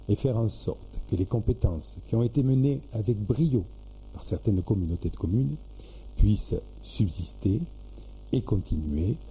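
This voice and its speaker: A man giving a formal, prepared explanation in a measured, halting way, in short administrative phrases. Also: on the low side, dark tone, a little hiss or hum, rough recording. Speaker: local government official.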